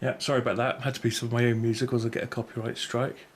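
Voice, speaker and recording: A man's voice: medium pitch, level tone, racing, over loud music. A middle-aged British man giving a casual, unscripted gear review in a rambling, tentative way, often correcting himself. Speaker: video host reviewing gear. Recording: clear background noise, room ambience.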